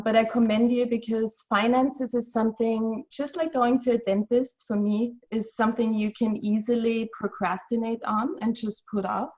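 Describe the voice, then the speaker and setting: A woman's voice: a little high, darkish tone, dull audio. A woman in her forties from Austria, giving an earnest, encouraging financial talk as a guest on a video call. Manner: earnest, encouraging, measured explaining. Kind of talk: webinar presentation.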